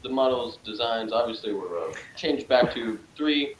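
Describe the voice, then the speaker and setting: A man's voice: medium pitch, expressive, moderate pace. A man co-hosting a casual review chat, rambling in a chatty way with sarcastic asides. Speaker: podcast co-host. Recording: echoey room with faint noise.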